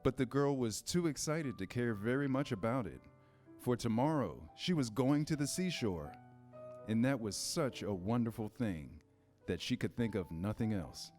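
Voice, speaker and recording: A man's voice: medium pitch, animated, normal speed, a little mellow, faint music behind. A man reading scripted storybook narration in a staged reader's theater style.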